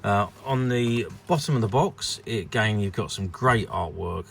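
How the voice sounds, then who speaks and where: A man giving an unscripted showcase commentary on a collectable, with a hesitant, halting delivery. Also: lowish voice, gravelly, a bit bright, slight background noise. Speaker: vintage toy collector presenting an item.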